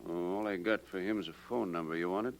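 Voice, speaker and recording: A man's voice: deep voice, fast talker. Offhand, slangy music shop man. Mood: casual. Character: casual, slangy male music shop proprietor. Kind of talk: 1940s radio acting.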